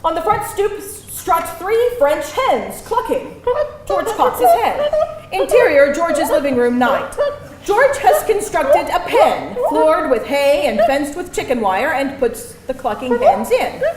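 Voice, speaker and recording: High, animated woman narrating a screenplay. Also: slow. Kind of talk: scripted narration of stage directions at a live table read. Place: live event venue with audience. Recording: roomy live venue, faint background noise.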